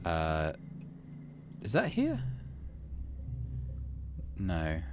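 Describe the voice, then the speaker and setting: A man commentates a story game playthrough in a low voice. His intonation is animated and conversational, shifting between reading aloud and musing, with faint noise behind him. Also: drawn-out words. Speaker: gaming video host. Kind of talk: casual playthrough commentary and narration.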